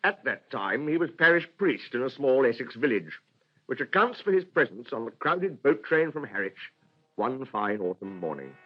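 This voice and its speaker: An actor playing a genteel storyteller, narrating a radio drama with a British accent in a formal, deliberate, literary manner. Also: male voice, mid-range voice, big pitch swings. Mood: measured, reflective. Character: genteel, measured storyteller recalling an old friend. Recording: dull, muffled radio recording.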